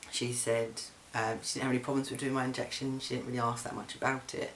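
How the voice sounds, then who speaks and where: Young man, monotone, mid pitch, chatty and halting with ums, home video diary, faint hiss. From England. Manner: chatty, frequent ums and restarts. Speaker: vlogger. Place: flat, indoors.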